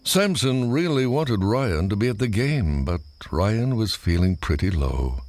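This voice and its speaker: A man's voice: on the low side, sing-song, measured pace, darkish tone. A man reading a scripted children's read-along story as its narrator. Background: music.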